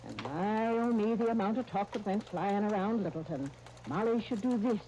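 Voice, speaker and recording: Mellow homespun American aunt played by a woman. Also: mid-range voice, animated, normal speed, close to an everyday voice, poor audio. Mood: sympathetic. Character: kindly, homespun small-town aunt who tells stories and shares recipes. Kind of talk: 1930s radio, folksy sponsor chat. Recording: hissy 1939 radio broadcast disc.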